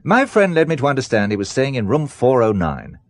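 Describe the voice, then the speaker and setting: A man's voice: medium pitch, sing-song, a little fast, lightly acted. An actor playing an uneasy, dryly polite businessman with a hesitant, understated manner in a radio drama. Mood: frustrated. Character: uneasy, dry British businessman awaiting a friend.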